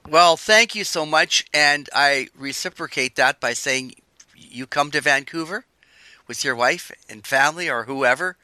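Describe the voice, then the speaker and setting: An older man hosting a radio show, chatting in a relaxed, rambling way. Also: a little high, a little slow, clean tone, no room sound. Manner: relaxed, chatty, wandering sentences. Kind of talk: casual interview chat.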